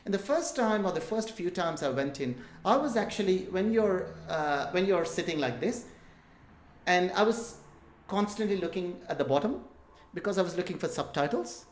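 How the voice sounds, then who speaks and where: A man's voice: high-pitched, animated, normal speed, slight background noise. A middle-aged man from India with an Indian English accent touched by Australian, telling jokey, rambling stories as an interview guest. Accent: Indian English with Australian influence. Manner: rambling, self-deprecating, jokey storytelling. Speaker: guest entrepreneur. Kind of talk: casual interview talk. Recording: roomy echo.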